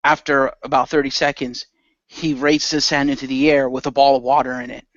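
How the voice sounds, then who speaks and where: A man narrates the action of an improvised radio play. His voice sits at a middle pitch. The sound is very dry, with no room to it. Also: little pitch movement, brisk, a bit bright, no room sound, muffled recording. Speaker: roleplay narrator and player.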